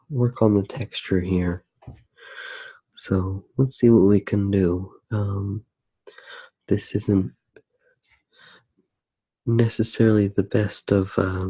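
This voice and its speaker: A man speaks as an ASMR artist guiding a drawing, in a hushed, halting way with pauses inside his sentences. His voice is dark and mellow, husky, and stays very even in pitch. He sounds close to the microphone as he works. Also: no room sound. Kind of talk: ASMR drawing tutorial, narrated while working.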